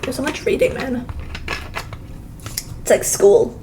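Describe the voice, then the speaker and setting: A woman's voice: high-pitched, wildly swooping pitch, slow, noisy background. A woman doing a casual, exclamatory game playthrough, reading dialogue aloud and reacting to it. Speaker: streamer reading visual novels aloud.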